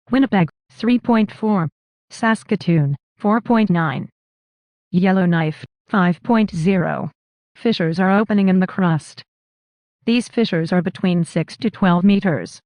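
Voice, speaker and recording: A woman's voice: medium pitch, slow, darkish tone, no room sound. A woman's synthetic text-to-speech voice reading scripted emergency broadcast announcements in a formal, impersonal, clipped manner.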